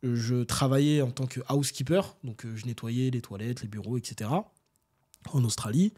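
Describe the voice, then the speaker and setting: A man from France, an interview guest telling stories in casual, animated, slangy talk and often seeking agreement. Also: medium pitch, moderate pace, breathy edge. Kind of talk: casual conversational storytelling.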